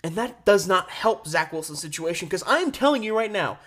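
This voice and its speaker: A man hosting a sports radio show solo, giving opinionated, emphatic commentary with deliberate pauses and rhetorical questions. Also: high voice, animated, a bit bright.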